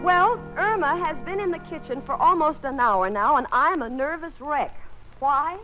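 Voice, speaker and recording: A sensible, dryly exasperated young-sounding roommate in an old radio sitcom. Her high voice is bright and lively, with faint noise on the recording. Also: moderate pace, soft background music, low-quality recording. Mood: nervous, exasperated. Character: sensible, wry, patient female roommate narrating her exasperation. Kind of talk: 1940s radio sitcom acting and narration.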